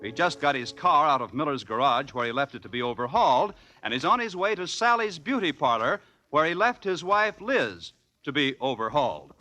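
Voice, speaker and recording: A man's voice: fairly high pitch, sing-song, brisk, bright, forceful tone. A man announcing and narrating a 1940s radio show with a polished, emphatic showman delivery. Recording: vintage 1940s radio recording, music behind. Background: music.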